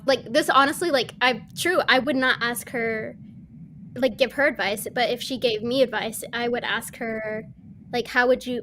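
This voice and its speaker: A woman's voice: on the high side, a bit bright, slight background noise. A young woman on a video-call panel, rambling and correcting herself as she answers. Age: young adult. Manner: rambling, self-correcting, full of fillers. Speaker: voice actor on a panel. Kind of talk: casual panel chat.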